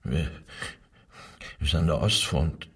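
A man playing a cornered, evasive murder suspect, halting and defensive, in a radio crime drama. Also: rumbling low, a bit bright, slightly rough, distant mic, heavily acted. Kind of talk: German radio drama dialogue.

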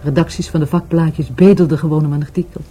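An actress playing a wistful, grieving lover in a radio play, hesitant and halting as she searches for words. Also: low voice, steady tone, dark tone, a little hiss or hum, natural voice. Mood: wistful, earnest. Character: grieving, wistful lover of a murdered market trader. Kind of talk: Dutch radio play acting.

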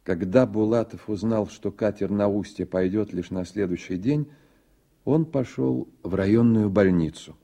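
An actor playing a reflective literary narrator in a radio play, with a husky voice. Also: male voice, fairly low pitch, unhurried, mellow.

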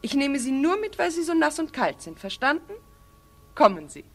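An actress playing a lively, teasing but kind-hearted young woman in a theatrical 1940s German radio play style. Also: sits high, normal speed. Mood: coy insistence. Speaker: radio drama actor. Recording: vintage 1940s radio recording with music.

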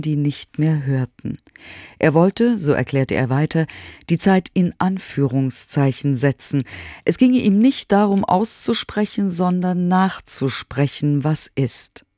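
Mellow, measured female radio presenter. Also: lowish voice, dry recording, studio quality. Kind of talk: scripted narration.

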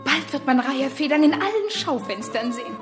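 A fashion-mad society lady in a radio play. Her voice is very high and gushing. Music plays clearly behind her. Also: animated, a little fast. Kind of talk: scripted radio drama acting. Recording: loud music under the voice.

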